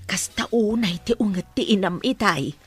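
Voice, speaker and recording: A woman's voice: medium pitch, lively intonation, normal speed, soft background music, lightly acted. A woman playing a cheeky, gossipy household helper in a radio drama, chatty and exclaiming. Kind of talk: comic Ilocano radio drama acting.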